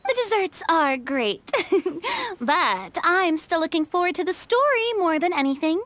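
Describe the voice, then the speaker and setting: A woman's voice: very high voice, very animated, unhurried, fairly bright tone, no echo, full character voice. A woman voicing a sweet, earnest young woman in a game, polite and a little hesitant. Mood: delighted. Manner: hesitant, polite, wide-eyed. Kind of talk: game voice acting.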